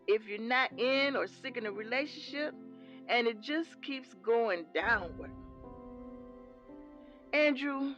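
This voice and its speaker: An actress playing a devout mother who softly counsels her son, calling him baby. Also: on the high side, expressive, slow, pauses often, highs cut off, faint music behind. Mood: pleading. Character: devout church mother, older woman, gently firm. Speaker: mother of the lead. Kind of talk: audio drama acting.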